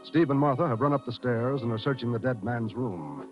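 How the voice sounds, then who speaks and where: A man's voice: mid-range voice, brisk, music in the background. An American man announcing in a formal, polished, emphatic 1940s radio style. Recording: dull old radio broadcast recording.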